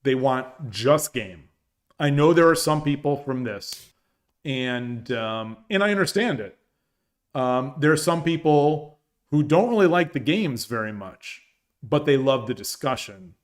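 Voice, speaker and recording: A man hosts a long live stream, rambling casually with frequent restarts and reading chat messages aloud. His voice sits in a middle register and has turned dry and hoarse from hours of talking. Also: medium pitch, expressive, unhurried. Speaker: shogi streamer.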